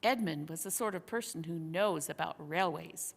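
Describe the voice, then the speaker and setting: A woman narrating a children's book expressively and deliberately, with dramatic pauses. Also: deep voice, gravelly. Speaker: storyteller. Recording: hall-like long reverb.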